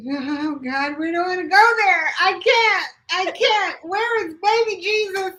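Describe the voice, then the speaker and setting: High-pitched, sassy woman from D.C. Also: bright, forceful tone. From Washington, D.C. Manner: sassy, mock-indignant, quick comebacks. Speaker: co-host. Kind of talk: casual playful banter with a co-host.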